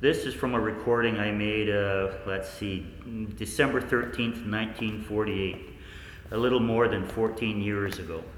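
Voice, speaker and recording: A man playing a haunted researcher looking back, narrating a 1940s radio play in an intimate, deliberate, ominous way. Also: fairly low pitch, fairly even intonation, close to an everyday voice. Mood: measured, explanatory. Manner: intimate, deliberate, knowing, ominous direct address. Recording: echoey hall, long reverb, faint noise.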